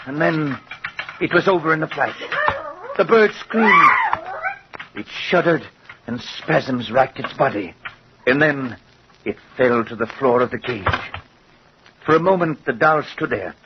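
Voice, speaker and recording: A muffled, noisy old radio recording carries a cruel doll-maker with a fairly high voice. He is theatrical and sardonic, speaking like a father who sneers at everyone around him. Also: expressive, measured pace, pauses often. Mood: intense, haunted. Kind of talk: vintage radio horror acting.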